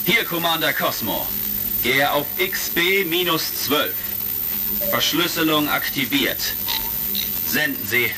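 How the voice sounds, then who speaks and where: An easygoing space hero in a vintage sci-fi radio play. His voice is creaky and very lively in pitch, on a crackly old record with music behind. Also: slow pace, long pauses, exaggerated voice. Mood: brisk, businesslike. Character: easygoing heroic space commander, friendly to his sidekick. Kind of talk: retro science-fiction radio drama acting.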